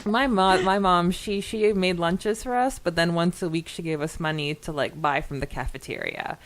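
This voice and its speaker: A woman's voice: lowish voice, normal speed, no room sound. A woman co-hosting a podcast, giggly and chatty as she talks casually and reads listener comments aloud. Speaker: podcast co-host.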